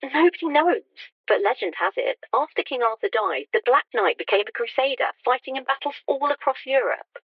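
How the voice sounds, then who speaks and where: A woman playing an eager, knowledgeable researcher in an audio drama, heard husky and earnest through a telephone speaker. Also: high-pitched, fairly quick, few pauses, no room sound. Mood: excited. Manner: excitable, earnest. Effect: telephone speaker filter. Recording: dull, dry phone-speaker sound.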